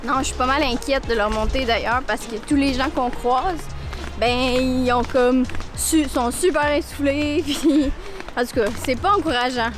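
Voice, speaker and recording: High-voiced, animated Quebec French woman. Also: normal speed, over music. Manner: animated, expressive, laughing. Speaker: travel vlogger. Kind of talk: casual travel vlog chat. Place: outdoors by the sea. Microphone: camera at arm's length.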